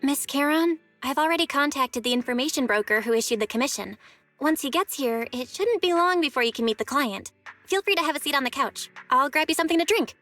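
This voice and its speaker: A perky young woman in a video game. She talks fast in a high voice, chirpy and politely helpful. Music plays behind her. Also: lively intonation, a bit bright. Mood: welcoming, upbeat. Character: perky, helpful young female shop host, polite and quick. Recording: music under the voice.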